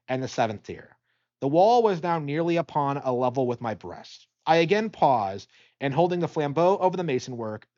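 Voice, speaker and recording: A man's voice: on the high side, muffled recording. A man reading a gothic story as a dramatic audiobook narrator.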